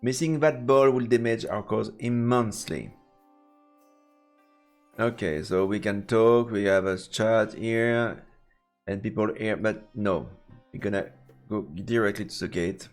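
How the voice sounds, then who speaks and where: A man's voice: on the low side, unhurried, soft background music. A man doing let's play commentary on a visual novel, reading the narration aloud. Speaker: game streamer.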